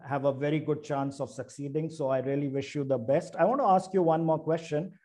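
A male host opens the show and questions his guest in a polite, formal way. His voice sits a little high but sounds soft and mellow. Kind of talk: hosting an interview.

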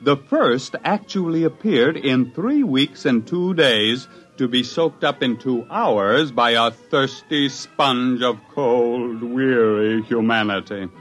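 A man acting an excitable scientist husband who tells his own story in a 1950s radio drama, with dramatic, wry delivery. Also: medium pitch, slow pace, hardly any pauses. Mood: wry, narrating. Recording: dull 1950s radio recording, music behind.

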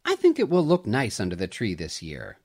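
A man voicing a warm, cheerful young mother in a story, with a kindly sing-song manner. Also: fairly low pitch, wildly swooping pitch, a little fast, full character voice. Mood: cheerful, gentle.

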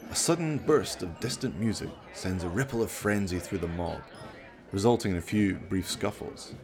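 A man's voice: sits low, normal speed, crowd noise. A man voicing a florid, sardonic television reporter in a radio play, telling an ominous tale as mock documentary.

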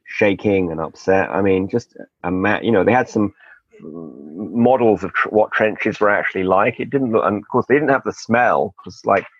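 Podcast host, male, low gravelly voice, dull dry sound, wry and chatty. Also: normal speed, dry recording. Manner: chatty, digressive, wry asides. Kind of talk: casual interview chat.

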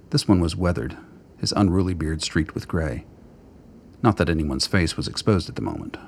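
A man narrating a scripted radio drama in a measured, literary storytelling manner. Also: low-pitched, gravelly, darkish tone, husky.

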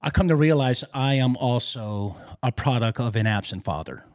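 A middle-pitched man in his fifties who grew up in Peru and speaks Spanish as his first language. He tells his story in a chatty, self-deprecating way, with dry, close-miked sound. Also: animated, normal speed, darkish tone, no echo. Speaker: podcast guest, retired police sergeant. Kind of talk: casual interview storytelling.

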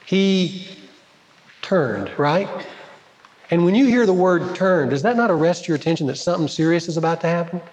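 Pastor, man, higher voice, dramatic sermon delivery, long church reverb. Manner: dramatic preaching, swings between loud emphasis and quiet. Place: church sanctuary. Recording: large hall with long reverb.

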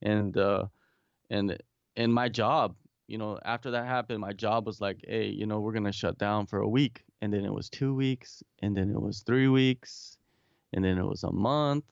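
A man's voice: on the low side, steady tone, normal speed, creaky, no room sound, lo-fi sound. A man talking from home in a casual remote interview, rambling with many restarts and fillers. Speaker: guest, MMA interviewer and English teacher.

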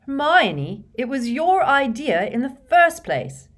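A woman's voice: mid-range voice, very animated, takes it slowly, voice pushed up. A woman voicing a blunt, indignant and sarcastic teenage schoolboy in a storybook reading.

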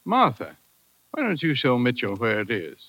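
A man's voice: mid-range voice, wildly swooping pitch, moderate pace, fairly bright tone, no room sound. An unruffled business man in an old radio drama, plain talk, mid voice, dry sound. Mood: matter-of-fact. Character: matter-of-fact male American businessman recounting a shooting.

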